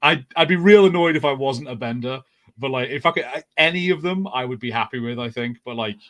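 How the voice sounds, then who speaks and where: A man speaking as a podcast panelist in an average male pitch. His delivery is informal and conversational, often musing tentatively as he works out an opinion. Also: fairly quick, a bit bright. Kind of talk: casual fan panel chat.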